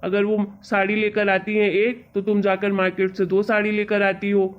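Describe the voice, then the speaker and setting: A woman's voice: mid-range voice, completely flat delivery, normal speed, lightly acted. A woman playing an exasperated, reasoning husband in an amateur cartoon story. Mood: teasing, needling. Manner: reasoning, chiding, colloquial. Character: exasperated young husband, patient and teasingly lecturing. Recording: dull, lo-fi audio.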